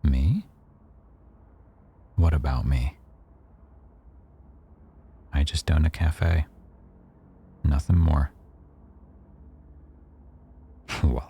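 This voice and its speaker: A man playing a rough-edged mafia boss who owns a cafe, teasing, probing and quietly threatening, in a boyfriend ASMR roleplay. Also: rumbling low, a little hiss or hum, full character voice. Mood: veiled threat. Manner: teasing, probing, smugly self-assured.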